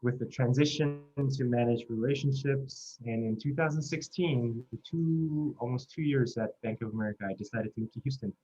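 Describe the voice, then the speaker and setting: A man in his thirties, originally from South Korea, recounts his life in an oral history interview. His mid-pitched voice is earnest and steady, and the recording sounds dull and lo-fi. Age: 30s. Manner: earnest, measured storytelling. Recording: muffled lo-fi recording.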